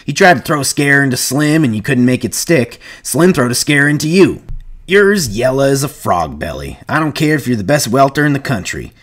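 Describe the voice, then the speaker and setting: A man's voice: mid-range voice, fast, hardly any pauses, voice pushed up, lightly acted. A man voicing a mocking, aggressive ranch hand with coarse, taunting rustic speech.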